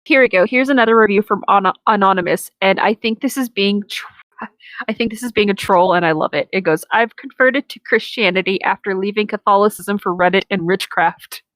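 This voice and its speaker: Female co-host, medium pitch, informal read-aloud style with small stumbles and self-corrections, dry studio-close sound. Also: a little fast, a bit bright, no room sound. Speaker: co-host reading reader reviews aloud. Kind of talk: casual reading aloud with reactions.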